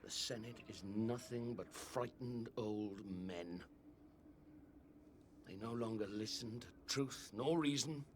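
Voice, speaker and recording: An actor playing a grave older Roman statesman who speaks in a measured, solemn way. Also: medium pitch, background noise, lightly acted.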